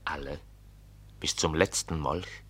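A man acting in a radio drama as a shrewd confidant who prods and questions, wry and emphatic, with a husky voice. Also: a bass voice, fairly even intonation, slow pace, pushed and bright, heavily acted. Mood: subdued, questioning. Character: shrewd, prodding male confidant questioning a storyteller.

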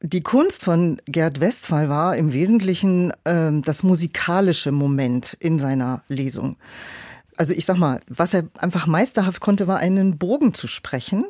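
A woman's voice: lowish voice, lively intonation, moderate pace, dark tone, no echo, clean studio sound. A woman speaking in a reflective interview as an audiobook director, explaining things and illustrating them with quoted asides. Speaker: audiobook director and producer, podcast guest.

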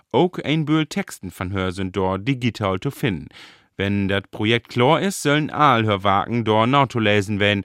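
Low-voiced Northern German man, dry studio narration. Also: no echo. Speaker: radio reporter and presenter. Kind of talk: scripted radio feature narration. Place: radio studio.

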